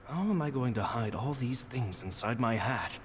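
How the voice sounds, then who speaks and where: A man voicing a kindly, humble stage magician in a reflective video game monologue, with a husky voice. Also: medium pitch, expressive, normal speed, slight background noise, lightly acted. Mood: wry. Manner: modest, reflective. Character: kindly humble male stage magician reading his diary. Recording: long reverb, music behind.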